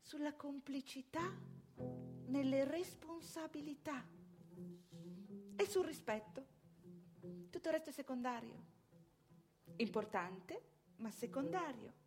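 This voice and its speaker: High-pitched, prim married mother. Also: slow pace, pauses often, music in the background. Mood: earnest, proud. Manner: opinionated, sententious, rhetorical questions. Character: proud, prim married mother, anxious and self-righteous. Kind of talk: theatrical stage monologue.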